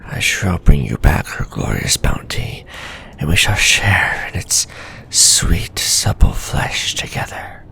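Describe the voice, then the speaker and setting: A man voicing an obsessive male scholar-mage who reads his letters home in a formal, soft whisper for an ASMR story. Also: deep voice, sing-song, slow, creaky, heavily acted. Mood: hungry, devoted. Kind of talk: whispered ASMR roleplay reading.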